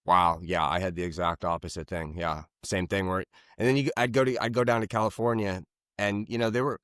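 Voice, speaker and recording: A man's voice: deep voice, fairly even intonation, brisk, a bit bright, clean tone, dry recording, clean studio sound. A young man from Canada hosting a podcast, chatting casually in a jokey way with offhand swearing. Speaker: podcast host. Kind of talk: casual interview chat.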